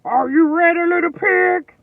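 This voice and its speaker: A woman voicing a sly, menacing big bad wolf from a storybook, with loud, drawn-out threats. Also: very high-pitched, gravelly, no room sound, narrow, tinny audio, voice pushed up. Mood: eager calling.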